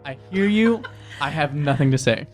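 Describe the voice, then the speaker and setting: A man with a high voice banters at a tabletop game, dry and a bit argumentative. His talk is casual, with clear music playing behind it. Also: animated, normal speed.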